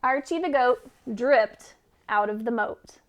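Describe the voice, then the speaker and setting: A woman's voice: fairly high pitch, slow, slight background noise. A woman reading a children's story aloud expressively, as a teacher who puts questions to listeners.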